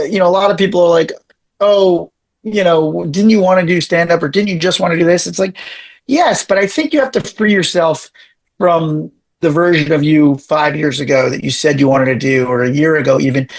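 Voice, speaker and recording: Raspy, animated man chatting as a guest. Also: on the high side. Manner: animated, talks in quick bursts with many restarts. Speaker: podcast guest. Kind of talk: casual interview chat.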